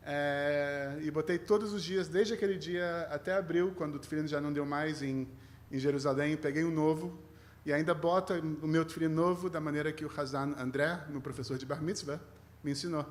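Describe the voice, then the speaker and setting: Guest rabbi, male, Brazilian accent with a mixed tinge, lightly raised pitch, conversational sermon with self-deprecating humour. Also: steady tone, brisk. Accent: Brazilian with a mixed foreign tinge. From Rio de Janeiro, Brazil.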